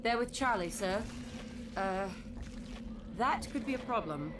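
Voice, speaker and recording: Crisp, wry female ship AI voiced by an actress. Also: expressive, a little slow, lightly acted. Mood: brisk, businesslike. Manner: wry, efficient, clipped military reporting. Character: dry-witted female ship AI, crisp and sardonic. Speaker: ship's artificial intelligence. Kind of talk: scripted video game acting. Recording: loud music and vehicle rumble behind.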